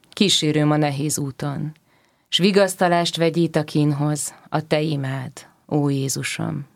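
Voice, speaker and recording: A reciter delivering religious verse in a solemn, measured declamation. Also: mid-range voice, gravelly, no room sound, clean studio sound. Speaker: poetry reciter.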